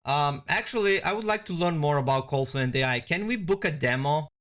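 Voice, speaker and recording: A man giving a conversational software walkthrough as a product demonstrator. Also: on the high side, expressive, brisk.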